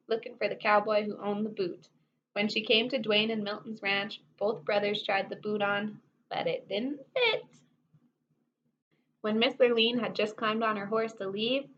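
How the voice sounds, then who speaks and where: A woman reading a children's story aloud expressively, with playful asides to her listeners. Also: mid-range voice. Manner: animated asides to young listeners. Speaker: storybook reader. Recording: dull sound with faint background noise.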